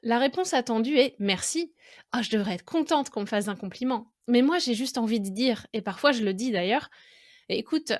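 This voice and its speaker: A woman's voice: fairly high pitch, animated, moderate pace, dry recording, clean studio sound. A French woman hosting an educational podcast, talking to the listener directly in a reflective, conversational way. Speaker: podcast host and French teacher.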